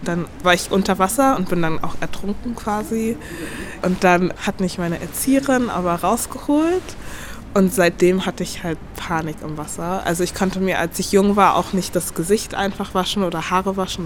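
A woman's voice: on the low side, normal speed, a little hiss or hum. A woman in her thirties telling her story candidly in an interview. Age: 30s. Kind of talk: interview answers.

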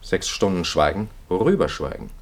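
A low male voice as a captive priest in a radio play. He is morally earnest and weary, choosing his words with care and pressing them home with stubborn reproach. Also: very animated, vocal fry, full character voice. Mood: guarded, firm. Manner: measured, articulate, reproachful. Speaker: radio drama actor. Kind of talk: German radio play acting.

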